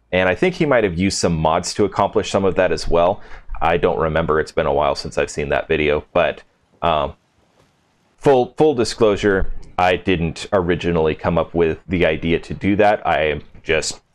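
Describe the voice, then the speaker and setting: A man hosting a casual, unscripted video game walkthrough, thinking aloud in an informal way as he shows things. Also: low-pitched. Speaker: video game settlement-build host. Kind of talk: casual gameplay walkthrough commentary.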